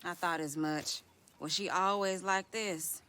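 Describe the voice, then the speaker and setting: A woman's voice: lowish voice, fairly even intonation, measured pace, pushed and bright, a little hiss or hum. An actress playing a disgruntled, mischievous young camp woman, dry and conspiratorial, in a video game. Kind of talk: naturalistic video game acting.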